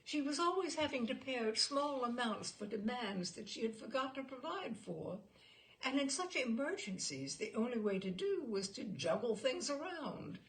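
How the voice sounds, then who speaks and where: Woman, expressive story reader, lively swooping pitch, creaky voice, theatrical emphasis. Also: normal speed, rough recording. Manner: animated, theatrical emphasis on key words. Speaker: storyteller and host reading short stories. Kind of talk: expressive literary story reading.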